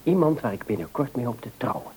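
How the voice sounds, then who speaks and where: A man's voice: medium pitch, big pitch swings, fast, darkish tone, slight background noise, lightly acted, rough recording. An actor playing a polite, good-natured grown son in a radio serial, a courteous young man. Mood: shyly pleased. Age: young adult. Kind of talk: Dutch radio serial acting.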